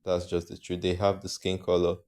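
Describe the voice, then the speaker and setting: Low-voiced rambling man from Africa. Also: monotone, fairly quick, a little mellow, clear, resonant tone. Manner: rambling, hesitant, often corrects himself. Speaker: channel host. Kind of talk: casual opinion vlog.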